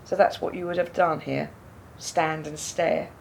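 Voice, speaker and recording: An actress playing a quiet, pensive woman burdened by doubt in a radio play, speaking in a reflective, halting way. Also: low-pitched, fairly even intonation, creaky, slight background noise. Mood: pensive, sad. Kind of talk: radio play dialogue, naturalistic.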